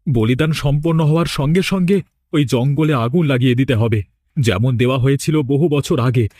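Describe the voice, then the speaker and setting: A man's voice: medium pitch, moderate pace, a little mellow, dry recording, natural voice. A man playing a stern village leader in a horror cartoon, solemn and commanding as he gives orders. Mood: grave, commanding.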